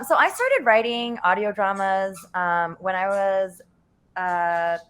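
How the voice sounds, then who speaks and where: A woman talking casually as a panelist on a video call, chatty and halting, with giggly asides. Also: medium pitch, fairly bright tone. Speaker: playwright panelist.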